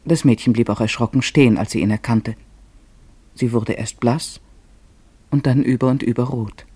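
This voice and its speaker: Mellow, husky male storyteller. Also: mid-range voice, steady tone, normal speed, dry recording. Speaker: narrator of a radio play. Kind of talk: scripted literary storytelling in German radio drama.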